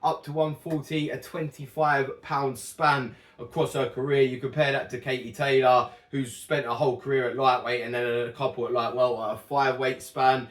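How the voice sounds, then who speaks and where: A man's voice: mid-range voice, little pitch movement, normal speed, few pauses, fairly bright tone. A young man chatting casually and rambling through a fight prediction, correcting himself often, his voice a little worn. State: slightly losing his voice. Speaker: boxing channel host. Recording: echoey room.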